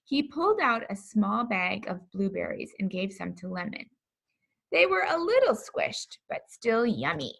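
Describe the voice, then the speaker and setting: A woman reading a children's story aloud in an expressive, deliberate storytelling manner. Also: medium pitch, gravelly, husky. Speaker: pastor reading a children's book.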